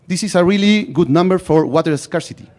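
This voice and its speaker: Male presenter, Chilean accent, Spanish native. Slightly high pitch, deliberate emphatic phrasing, rehearsed pitch delivery. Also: a little mellow. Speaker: startup founder presenting.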